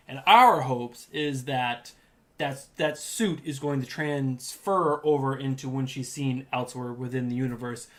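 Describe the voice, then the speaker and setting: A man's voice: mid-range voice, a bit bright. Podcast co-host, man, New England accent, eager and rambling, lots of ums and restarts. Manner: enthusiastic, rambling with restarts and fillers. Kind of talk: casual chat.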